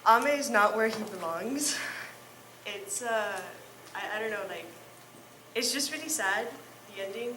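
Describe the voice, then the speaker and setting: A woman's voice: fairly high pitch, expressive, unhurried, long pauses, noisy background. Hesitant woman fan in an echoey hall. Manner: hesitant, rambling question. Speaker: fan asking a question.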